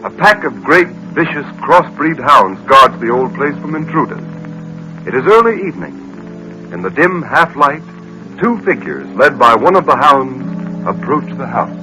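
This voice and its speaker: A man's voice: medium pitch, big pitch swings, moderate pace, sharp tone, faint music behind, low-quality recording. An American man announcing and narrating in vintage 1940s radio style, with dramatic, emphatic delivery.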